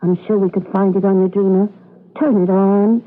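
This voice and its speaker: An actress in a 1980s radio drama playing a gentle, wistful young wife who questions hopefully. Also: female voice, medium pitch, fairly even intonation, moderate pace, narrow, tinny audio, close to an everyday voice. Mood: curious, bored. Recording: band-limited old radio broadcast, music underneath.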